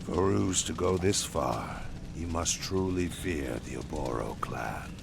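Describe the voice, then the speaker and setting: An actor voicing an ancient male Grim Reaper spirit, speaking slowly and solemnly in a game cutscene. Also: lively intonation, background noise, heavily acted. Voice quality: deep gravelly voice. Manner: slow, solemn, hushed. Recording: music playing behind.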